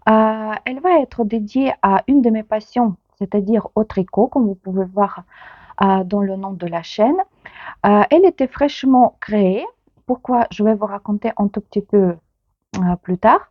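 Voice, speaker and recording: Ukrainian woman in her 30s, heavy accent, lots of pauses and restarts, dry muffled sound. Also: medium pitch, dry recording. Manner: hesitant, many pauses and restarts. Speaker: knitting vlogger. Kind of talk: casual chat to camera.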